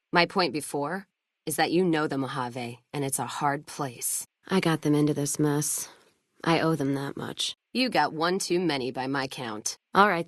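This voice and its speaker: Game role: hard-bitten, hard-drinking caravan woman played by an actress, low register, blunt and wry, folksy, very dry close recording. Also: dry recording, natural voice. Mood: resigned, gruff. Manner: blunt, wry, folksy drawling turns of phrase. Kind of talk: video game voice acting, scripted dialogue.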